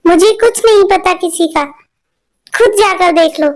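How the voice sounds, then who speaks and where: A woman voicing a cruel cartoon stepmother who talks in a sharp, scolding way. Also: extremely high pitch, unhurried, voice pushed up. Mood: snapping.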